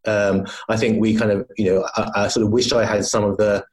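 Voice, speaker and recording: Low-voiced English businessman, thoughtful. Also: little pitch movement, fairly quick, darkish tone. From London, England. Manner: thoughtful, hesitant with many restarts. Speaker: company founder, podcast guest. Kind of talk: conversational interview.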